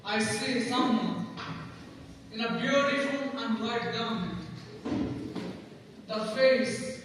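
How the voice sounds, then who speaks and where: A man acting a pious, earnest young boy in an amateur stage play, with slow, declamatory delivery in an echoing hall. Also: extremely high pitch, fairly bright tone, exaggerated voice. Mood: awed. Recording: echoey hall, distant mic, faint noise.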